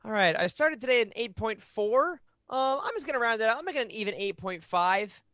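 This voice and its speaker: Animated, high-pitched man chatting. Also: a little fast, dry recording, clean studio sound. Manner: animated, playful. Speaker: podcast panelist. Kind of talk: casual chat. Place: home room, indoors. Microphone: close podcast mic on video call.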